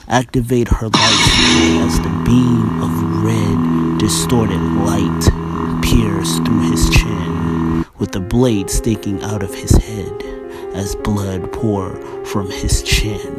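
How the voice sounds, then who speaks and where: A man narrating a scripted science-fiction story for an audio drama. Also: fairly low pitch. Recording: very loud music bed over narration.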